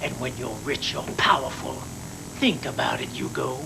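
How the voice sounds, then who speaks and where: A gravelly, patronizing anime crime boss. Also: male voice, medium pitch, normal speed, low-quality recording. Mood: patronizing, pressing. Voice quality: gravelly, creaky. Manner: patronizing, persuasive, calls the younger one "son". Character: smooth, menacing middle-aged crime boss, a patronizing tempter. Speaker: voice actor in dubbed anime. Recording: worn VHS tape, loud music behind.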